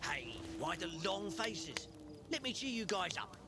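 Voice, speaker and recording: An actor playing a jovial stalker in a video game, telling jokes with theatrical delivery. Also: male voice, high-pitched, sing-song, a little slow, background noise, heavily acted. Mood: cheerful. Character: jovial campfire joker, a stalker cheering up comrades.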